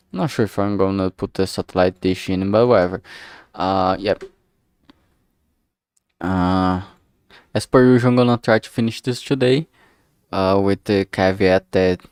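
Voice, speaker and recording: A man's voice: deep voice, expressive, unhurried, pauses often, darkish tone, dry recording. A man chatting casually on a livestream, thinking aloud in a hesitant, musing way and often trailing off mid-thought. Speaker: streamer making a visual novel.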